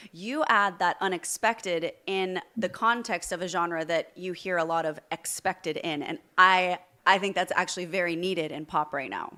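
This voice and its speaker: A woman's voice: on the low side, pushed and bright. A woman vocal coach leads the interview. She asks questions eagerly and lays out her analysis of the music at length. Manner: enthusiastic, analytical explaining.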